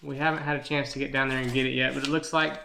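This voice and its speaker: A man's voice: medium pitch, little pitch movement, very fast, gravelly, pushed and bright, a little hiss or hum. A middle-aged American man chatting casually to viewers in a plainspoken, folksy way, as a family channel co-host. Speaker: co-host, father helping present a family channel. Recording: echoey, roomy home recording.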